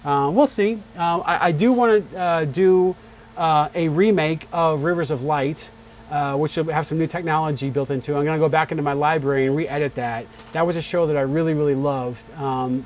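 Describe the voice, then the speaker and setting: A man with a slightly raised pitch chats loosely on a live stream, thanking viewers and drifting between topics. He talks with many fillers and repeated starts. Faint ambient noise hums behind him. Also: a little fast. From born on a US Navy base in Morocco, raised in many places. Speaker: YouTube creator hosting a live premiere chat. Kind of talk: casual live-stream chat.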